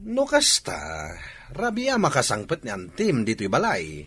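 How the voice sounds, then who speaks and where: A man's voice: medium pitch, wildly swooping pitch, a little slow, sharp tone, slightly rough, full character voice. An actor with an Ilocano accent playing a fussy, chatty father at home in a comedy drama.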